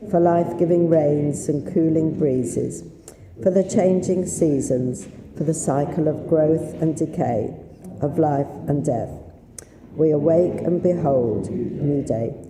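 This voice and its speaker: A woman with a slightly husky voice, leading a synagogue service in a chatty, unhurried way with fillers. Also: low voice. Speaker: service leader. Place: synagogue sanctuary. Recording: roomy sanctuary sound with faint noise.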